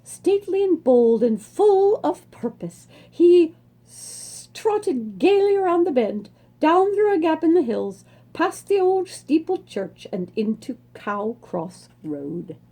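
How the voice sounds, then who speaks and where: A woman storyteller with a high, mellow voice reads a children's book aloud. She takes her time, savoring the words and pausing for dramatic effect. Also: very animated.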